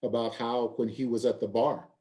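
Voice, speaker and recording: A man's voice: mid-range voice, level tone, moderate pace, mellow. A man moderating a panel, thanking speakers and steering the discussion.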